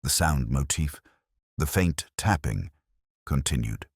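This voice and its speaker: A man's voice: a bass voice, animated, takes it slowly, vocal fry, breathy edge, no echo, clean studio sound. A man reading a scripted literary mystery story as its first-person narrator.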